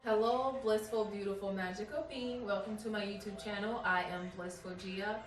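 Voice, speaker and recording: A woman's voice: mid-range voice, steady tone, normal speed, reverberant, low-quality recording. A woman from Liberia chatting casually and reflectively as a vlogger, sharing personal thoughts in a candid, conversational way. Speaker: vlogger hosting a YouTube channel. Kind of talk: casual confessional chat to camera.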